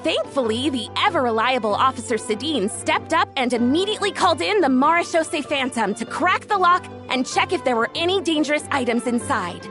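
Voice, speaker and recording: A high, lively young woman's voice, chatty and a touch flustered, rising and falling expressively as she tells a story. She is friendly and earnest throughout. Faint game music hums underneath. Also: fairly quick, few pauses. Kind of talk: video game dialogue. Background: soft game music.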